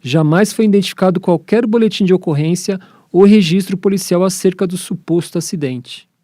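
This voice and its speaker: Higher-pitched mellow Brazilian male narrator. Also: a little fast, no room sound, clean studio sound. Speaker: presenter and narrator. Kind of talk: scripted documentary narration.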